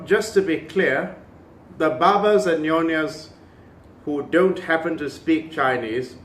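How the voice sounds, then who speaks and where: A man's voice: fairly high pitch, distant mic. A man from Malaysia giving an opinionated video commentary, emphatic and impassioned, with sardonic asides. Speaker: cultural commentator. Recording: echoey room.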